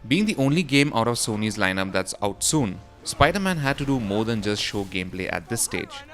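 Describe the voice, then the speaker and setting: A man's voice: on the low side, sing-song, fairly quick, fairly bright tone. A man reading a scripted voice-over for a gaming news roundup, in the role of presenter. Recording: music behind the voice. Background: background music.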